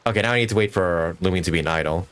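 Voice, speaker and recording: A man's voice: low-pitched, very fast, fairly bright tone. A young man giving casual live gameplay commentary, jokey and talking to himself as he plays. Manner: jokey, talks to himself while playing. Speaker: video game streamer.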